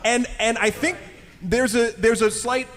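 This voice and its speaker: A man's voice: very high-pitched, fairly even intonation, unhurried, a bit bright. A man bantering and discussing casually as a panelist in front of a live audience. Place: large indoor convention panel room. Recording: reverberant convention hall.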